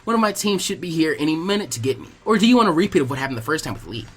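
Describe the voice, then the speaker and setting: A man's voice: a little high, big pitch swings, very fast, fairly bright tone, breathy edge, soft background music, exaggerated voice. A man voicing a confident, teasing young ninja girl with a frank, self-assured manner. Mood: breezy.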